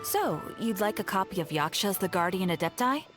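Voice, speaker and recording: A woman's voice: medium pitch, animated, a little fast, fairly bright tone, soft background music, natural voice. A woman voicing a courteous, wry bookshop keeper in a video game. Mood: gracious.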